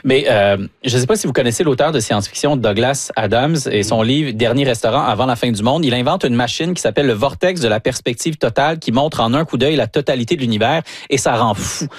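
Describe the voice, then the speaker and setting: A middle-aged man hosting a radio interview, relaxed and chuckling, with frequent hesitations. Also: medium pitch, fast talker, few pauses, no room sound. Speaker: radio host.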